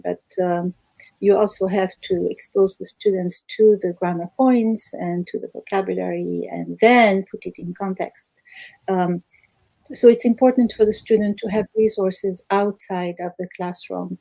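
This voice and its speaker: Mellow-voiced woman language teacher. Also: mid-range voice, vocal fry, no echo, rough recording. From Algerian American, born in Morocco. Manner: measured, explanatory, many fillers. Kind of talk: interview answers.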